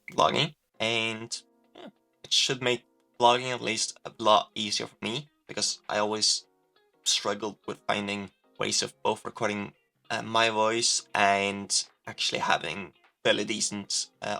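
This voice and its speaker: Gravelly, bright-voiced young man vlogging. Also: on the low side. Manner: relaxed, rambling, frequent fillers and self-corrections. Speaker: language-learning vlogger. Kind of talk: casual talking-to-camera update.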